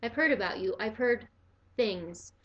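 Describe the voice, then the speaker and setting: A woman's voice: medium pitch, expressive, measured pace, muffled recording, lightly acted. A woman reading aloud and voicing a timid, frightened young girl. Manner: timid, hesitant.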